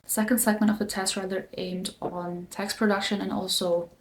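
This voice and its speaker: A young woman whose first language is not English talks casually through her experience as a design student, relaxed and full of fillers. Her voice sits at a middle pitch. The room is echoey, with a faint hum of noise behind her. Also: normal speed. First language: not English, not German. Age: young adult. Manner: relaxed, filler-heavy, with frequent likes and ums. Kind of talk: casual explanatory vlog.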